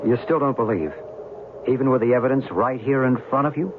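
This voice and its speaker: A man's voice: mid-range voice, brisk, natural voice. A man playing an earnest, insistent UFO believer in an old-time radio drama, articulate and rather formal. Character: earnest, insistent male UFO believer, patient and solicitous companion. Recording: noisy, muffled old radio show recording.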